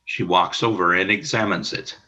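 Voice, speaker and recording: A man narrating the stage action of an amateur radio play in an even reading. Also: low-pitched, normal speed.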